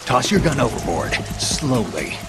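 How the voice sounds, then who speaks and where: An actor playing a gruff, battle-hardened special-ops soldier who speaks in curt, clipped commands. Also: male voice, mid-range voice, sing-song, unhurried, over loud music. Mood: commanding. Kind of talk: video game voice acting.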